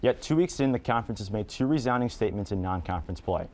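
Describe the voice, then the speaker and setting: A man's voice: on the low side, animated, fast, a little mellow. A man delivering a scripted sports report in a polished, formal manner.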